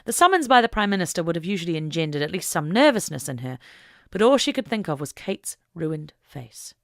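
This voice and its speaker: A woman narrating an audiobook story in a deliberate, expressive, dramatic reading style. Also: fairly low pitch, no room sound, clean studio sound.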